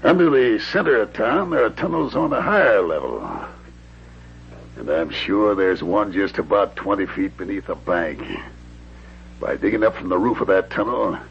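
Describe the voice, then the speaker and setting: An actor playing a scheming male outlaw gang boss in a 1950s radio western, confident and plain-spoken, with a gravelly voice. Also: lowish voice, moderate pace. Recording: dull lo-fi old radio recording, hum.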